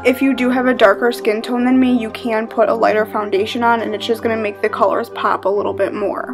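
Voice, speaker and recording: A woman's voice: a little high, a little fast. Chatty young woman over music. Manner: casual, chatty, relaxed with frequent "um" and "like". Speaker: makeup vlogger. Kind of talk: makeup tutorial voice-over. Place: living room with red couch.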